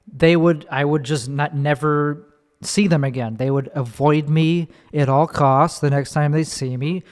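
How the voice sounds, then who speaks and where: Chicago-accented male comic, profane rambling rant, slightly high voice, reverberant room. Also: fairly even intonation, unhurried. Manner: profane, rambling, restarts mid-sentence. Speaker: comedian and podcast host. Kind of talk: ranting solo podcast monologue. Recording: long reverb, echoey room.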